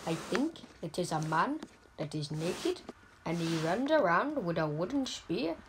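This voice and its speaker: A gaming YouTuber with an Indian accent, giving deadpan, halting comedic commentary over mobile gameplay. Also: female voice, fairly low pitch, noisy background. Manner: deadpan, halting, joking persona.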